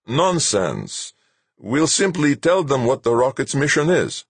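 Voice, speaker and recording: A man's voice: medium pitch, big pitch swings, unhurried, gravelly, breathy edge, dry recording, pitched above the performer's own voice, exaggerated voice. A man voicing a stubborn, self-absorbed male scientist who protests insistently in an audiobook. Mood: scornful. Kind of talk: acted audiobook dialogue.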